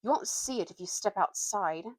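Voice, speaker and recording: A woman's voice: mid-range voice, animated, normal speed, no echo, close to an everyday voice. Curt schoolboy read by a woman, impatient, dismissive, exasperated, storybook character, dry sound.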